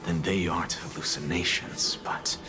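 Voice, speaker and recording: An actor playing a weary, injured young male adventurer in a video game, speaking in a hushed, musing way. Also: sits low, flat delivery, moderate pace, music in the background, lightly acted.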